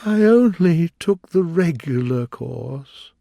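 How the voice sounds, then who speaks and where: A gloomy storybook sea creature that sighs through every word, as a man puts him on. The voice sits high and is dragged out at a slow, mournful crawl, with gravel in it. Close, dry sound. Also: expressive, dark tone, no echo, heavily acted. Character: melancholy sighing sea creature, male, old. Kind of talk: audiobook reading.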